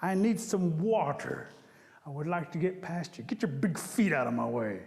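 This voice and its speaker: A small, cheeky rabbit from a folktale, acted out by a male storyteller, whining and excitable in a highish voice. He is rude and impatient, and the sound rings with long hall reverb. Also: moderate pace, gravelly.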